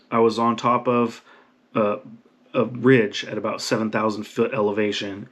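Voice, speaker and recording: A man hosting an informal how-to talk, speaking emphatically and often checking in with the listener. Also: on the low side. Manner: emphatic, checks in with "right?" and "okay?". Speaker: video host explaining radio basics. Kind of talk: informal instructional talk to camera.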